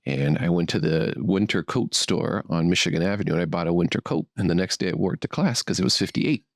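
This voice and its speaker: A man's voice: deep voice, expressive, fast, creaky, no room sound, studio quality. A man in his thirties, born in Brazil and raised in Miami, telling his story as a guest on a podcast in a chatty, upbeat way with self-deprecating humor. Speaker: fertility doctor, guest. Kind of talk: relaxed podcast interview.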